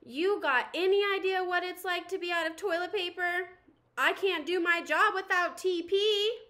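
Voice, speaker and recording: A woman's voice: very high-pitched, moderate pace, sharp tone, pitched above the performer's own voice. A woman voicing a frantic toy race car in a storybook, urgent and insistent.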